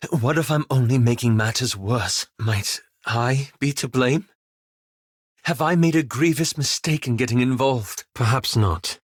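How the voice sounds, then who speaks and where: A man playing a reserved, scholarly, self-doubting young elf adventurer in a video game, speaking in a formal, measured, wryly understated way. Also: medium pitch, no echo, clean studio sound. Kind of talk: video game companion dialogue.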